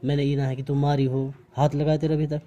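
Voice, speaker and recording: A man's voice: fairly high pitch, level tone, fast talker, warm tone. A man with a Mewati accent argues reproachfully in a private phone conversation, asking one pointed question after another. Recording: amateur phone call recording.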